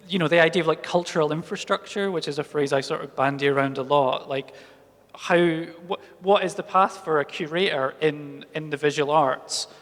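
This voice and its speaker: A man in his late thirties thinking out loud on a festival panel, rambling through restarts. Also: on the high side. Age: late 30s. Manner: thoughtful, rambling, many restarts. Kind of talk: casual panel talk. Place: festival talk hall. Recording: long hall reverb.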